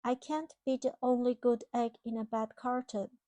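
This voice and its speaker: A woman's voice: a little high, little pitch movement, normal speed, dark tone, dry recording, lightly acted. A woman voicing an earnest, well-meaning egg character from a children's picture book, in an emphatic, childlike manner. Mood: fed up.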